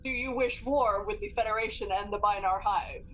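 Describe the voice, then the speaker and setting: A woman's voice: medium pitch, a little fast, a bit bright, lightly acted. Woman as an eerie alien hive envoy, noisy. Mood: mock menace. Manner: solemn, ominous proclamations.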